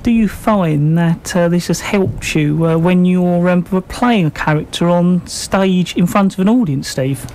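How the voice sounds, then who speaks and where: Halting male radio interviewer. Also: high-pitched, darkish tone, slight background noise, rough recording. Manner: halting, hesitant question delivery. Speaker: radio show host.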